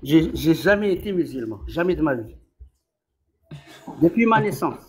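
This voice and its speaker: A man's voice: a little high, sing-song, moderate pace, a little mellow, a little hiss or hum. A man in a heated live-stream debate who insists, repeats himself and stumbles over his words. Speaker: debate caller.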